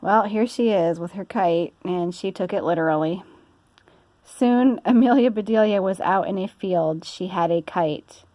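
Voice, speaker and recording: A woman's voice: mid-range voice, a little slow, warm tone, no room sound. A woman reading a children's story aloud in an informal way. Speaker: storybook reader.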